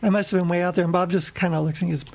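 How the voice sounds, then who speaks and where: A woman's voice: on the low side, steady tone, very fast, gravelly, darkish tone. Cheerful woman chatting about her club. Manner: cheerful, laughs often. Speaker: ukulele club member. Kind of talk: casual interview chat.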